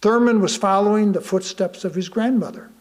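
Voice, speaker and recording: A man reading a sermon aloud from a script, deliberately and with emphatic pauses. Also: sits high. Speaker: lay reader of a sermon.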